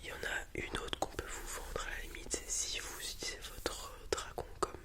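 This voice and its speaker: A person whispering an improvised ASMR roleplay as a calm dragon breeder advising a customer, with a hesitant, soft way of explaining. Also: normal speed. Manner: hesitant, improvised explanations, softly addressing the listener.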